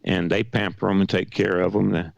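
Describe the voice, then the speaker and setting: A hoarse man with a Southern accent and a gravelly, weakened voice, chatting in a folksy, halting way as a radio co-host. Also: deep voice, flat delivery, dry recording, muffled recording. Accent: Southern American. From Georgia. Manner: folksy, halting with many restarts. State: hoarse, weak voice. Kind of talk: casual radio chat.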